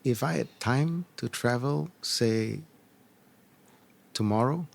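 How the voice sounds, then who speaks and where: A middle-aged man answering interview questions as an experienced business leader, in measured, earnest, short deliberate phrases. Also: mid-range voice, vocal fry. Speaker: entrepreneur and former corporate leader, interview guest. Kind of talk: reflective conversational interview answers.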